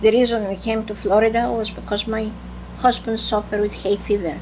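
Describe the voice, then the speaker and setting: An elderly woman from Greece with a Greek accent, slowly recalling her life in an oral history interview, with halting restarts. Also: medium pitch, flat delivery, darkish tone. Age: older. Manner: slow, halting recollection with restarts. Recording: noisy tape with hum.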